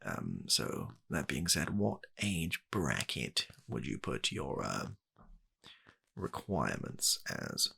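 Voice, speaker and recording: A British man softly playing a polite, fussy gentleman matchmaker in a comedic ASMR roleplay, hesitating and repeating his reassurances. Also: rumbling low, wildly swooping pitch, slow pace, heavily acted. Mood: polite, explaining. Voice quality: gravelly, soft-spoken.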